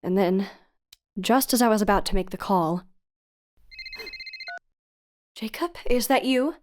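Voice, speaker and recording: A woman's voice: mid-range voice, normal speed, darkish tone, slight background noise, natural voice. A woman playing a worried, insistent young woman in an audio drama, speaking with urgent, emotionally tense questioning. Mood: grave narration, then anxious concern. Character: worried, insistent young woman on a tense phone call, narrating her story. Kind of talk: audio drama acting with first-person narration.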